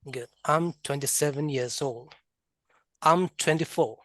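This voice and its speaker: A man with a Karbi accent teaching an English class, drilling words and sounds slowly and having students repeat. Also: a little high, a bit bright, no echo. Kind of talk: classroom lesson, mixing local language and English.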